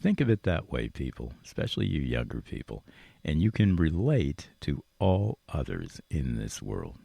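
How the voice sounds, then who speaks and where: Deep-voiced, animated older male radio host. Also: moderate pace, creaky, breathy edge, no room sound. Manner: earnest, preachy, emphatic, addresses listeners directly. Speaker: radio talk show host. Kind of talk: reflective motivational monologue to listeners.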